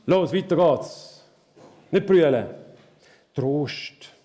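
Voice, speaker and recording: A man with a Swiss German accent preaching a live sermon, asking the congregation questions in a slow, searching way. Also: on the high side, lively intonation, warm tone. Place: church hall. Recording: reverberant church hall.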